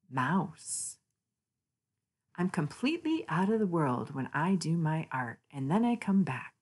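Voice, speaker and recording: A woman reading a children's picture book aloud, naming things deliberately with sing-song emphasis. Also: fairly low pitch, slightly rough. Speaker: read-aloud channel host.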